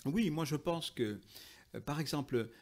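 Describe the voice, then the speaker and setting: Gravelly-voiced man explaining his views. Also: on the high side, animated, unhurried. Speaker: interview guest, linguist and author. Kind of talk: explanatory interview talk, argumentative.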